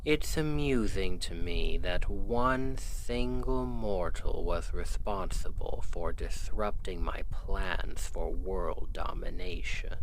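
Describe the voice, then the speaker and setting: A man playing an arrogant, menacing demon king in an ASMR roleplay, speaking formally and intimately with smug, breathy delivery. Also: low voice, fairly bright tone, low-quality recording. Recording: clear background noise.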